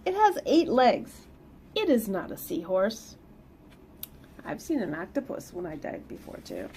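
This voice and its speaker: A woman's voice: medium pitch, measured pace, slight background noise. A middle-aged woman reading a children's picture book aloud expressively, with sing-song emphasis and casual asides. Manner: animated, sing-song emphasis for young listeners. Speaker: read-aloud storyteller. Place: small plain indoor room.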